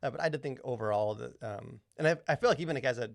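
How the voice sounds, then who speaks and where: A man's voice: mid-range voice, quick pace, creaky, no echo, studio quality. A man hosting a podcast in casual chat, enthusiastic and full of hesitant fillers. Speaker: podcast host.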